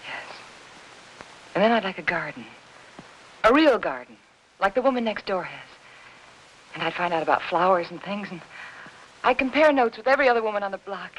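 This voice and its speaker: An actress playing an anxious, devoted American housewife in a 1950s film noir, speaking gently and earnestly. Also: female voice, medium pitch, lively intonation, fairly quick, pauses often, lightly acted, low-quality recording. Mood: wistful, hopeful. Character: anxious, devoted housewife tending her sick husband. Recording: hissy old 1950s film soundtrack.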